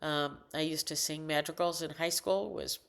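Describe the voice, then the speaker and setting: An older woman with a low alto voice talking casually into a desk microphone at home, rambling, halting often and correcting herself mid-sentence. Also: steady tone, creaky, fairly bright tone. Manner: rambling, halting, many restarts and self-corrections. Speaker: vlogger. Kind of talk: casual personal monologue.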